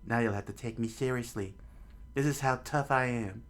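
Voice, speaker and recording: A man's voice: medium pitch, moderate pace, close to an everyday voice. A man voicing a brash young boy who wants to be a pirate, boastful and eager.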